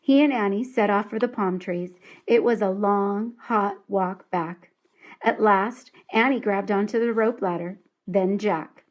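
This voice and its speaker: A woman reading a children's story aloud in an expressive, deliberate and dramatic storytelling style. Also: mid-range voice.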